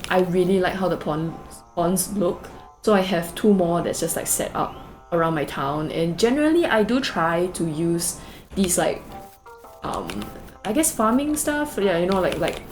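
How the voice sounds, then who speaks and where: A woman's voice: on the low side, lively intonation, moderate pace, low-quality recording. A young woman from Singapore with a Singaporean English accent, chatting casually through a game tour with lots of fillers. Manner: chatty, rambling, many fillers and "like"s. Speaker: gaming video creator. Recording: faint background music.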